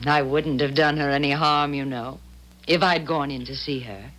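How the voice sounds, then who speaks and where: An actress playing a cunning she-devil who bargains for souls in a 1970s radio drama, deliberate and coolly menacing. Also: low voice, fairly even intonation, noisy background, lightly acted. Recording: 1970s radio broadcast recording.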